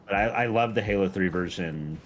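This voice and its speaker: Man, low voice, enthusiastic game modder in loose, rambling stream chat, music behind. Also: a little fast, rough recording. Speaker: game mod creator guesting on a stream. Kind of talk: casual gaming stream chat.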